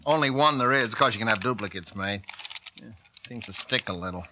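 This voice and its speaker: An actor playing a folksy, salesy real estate agent in 1940s radio-drama style. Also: male voice, fairly low pitch, animated, a little fast, very noisy, close to an everyday voice. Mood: fumbling.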